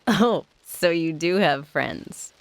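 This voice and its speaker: An American woman playing a sincere, candid, soft-hearted young woman in a video game drama. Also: fairly low pitch, lively intonation, slow pace, slight background noise. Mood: curious, tentative. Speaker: voice actor in a game. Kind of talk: video game cutscene dialogue.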